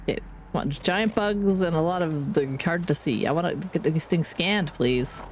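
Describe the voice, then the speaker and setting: A woman live-streaming a game with casual, playful commentary, chattering to herself, her voice deepened by being sick. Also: moderate pace, a little hiss or hum.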